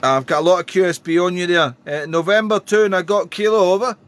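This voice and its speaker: Man, high-pitched voice, friendly radio operator patter, phonetic call signs, faint background noise. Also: fairly even intonation, quick pace. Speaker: amateur radio operator livestreaming. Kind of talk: radio contact calls and casual chat.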